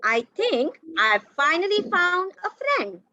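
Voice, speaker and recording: A bright, forceful and high-pitched eager boy, read by a woman in a reader's theatre. The reading is slow, careful and halting. The audio is dry and muffled, like an online call. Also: big pitch swings, no room sound, heavily acted. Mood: hopeful. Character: eager young boy longing for a pet.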